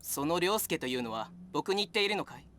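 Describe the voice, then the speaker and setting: An actor playing a calm, aloof and coolly polite mysterious man in a Japanese visual novel game. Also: medium pitch, racing, slight background noise, natural voice. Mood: cool, detached.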